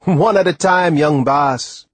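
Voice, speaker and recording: A man performs an old West Indian seaman for an audiobook, pitched up and hearty. He speaks in dialect with emphatic, deliberate phrasing and a reassuring temper. The recording is clean and dry. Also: slightly rough, dry recording, lightly acted. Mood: hearty, pleased. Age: older. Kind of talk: audiobook character voice.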